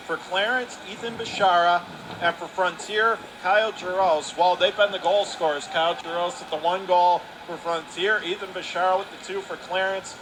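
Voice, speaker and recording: An American man doing live radio play-by-play commentary of a hockey game. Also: sits high. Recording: noisy arena ambience behind voice. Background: ice rink noise.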